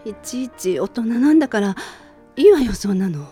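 A woman's voice: on the high side, sing-song, moderate pace, dark tone, faint music behind. A woman playing a lovelorn, proud woman who clings to her lover in an amateur romantic radio drama. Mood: brave front. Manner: wistful, putting on a brave front.

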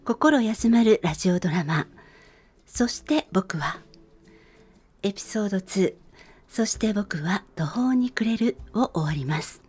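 A woman's voice: medium pitch, expressive, unhurried, a little mellow, breathy edge. A woman hosting a radio show, speaking politely as she presents and signs off. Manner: polite, formal speech. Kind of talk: radio show sign-off and chat.

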